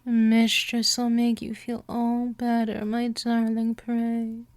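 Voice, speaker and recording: A woman voicing a gentle but dominant, seductive vampire in a scripted hypnosis roleplay, teasing and coaxing, often slipping toward a whisper. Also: fairly high pitch, completely flat delivery, slow, no room sound. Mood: soothing, hypnotic.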